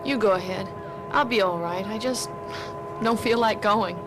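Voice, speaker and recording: An actress playing a listless, reluctant daughter in a radio mystery drama. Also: female voice, medium pitch, normal speed, lightly acted, rough recording. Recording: music behind a radio drama.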